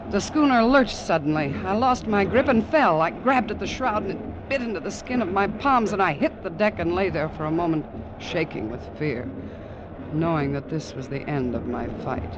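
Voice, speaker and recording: A man's voice: high-pitched, animated, normal speed, noisy background. An actor as a radio drama narrator, grimly and intensely recounting a troubled man's ordeal from a letter. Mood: tense, urgent. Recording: dull vintage radio, music underneath.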